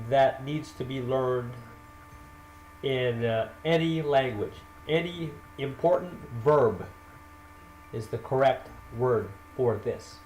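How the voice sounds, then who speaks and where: A man gives a slow, carefully paced English lesson, repeating simple example sentences and correcting himself. His voice sounds roomy and echoey. Faint ambience hums behind him. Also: medium pitch, darkish tone, slight background noise. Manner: deliberate, repeats drill sentences, self-corrects. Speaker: English teacher. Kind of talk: slow spoken grammar lesson.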